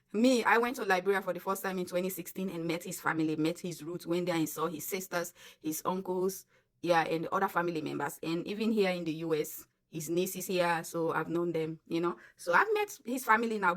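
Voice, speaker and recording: A chatty Sierra Leonean woman telling a personal story straight to her followers. She talks in a casual, emphatic way and keeps turning to her viewers directly. Her voice sits at an ordinary middle pitch. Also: a bit bright. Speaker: vlogger.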